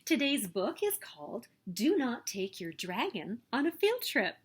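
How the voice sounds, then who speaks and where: A woman reading a children's picture book aloud as story host, with expressive, emphatic delivery. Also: a little high, a little slow, breathy edge. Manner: expressive, emphatic storytelling with sing-song refrains.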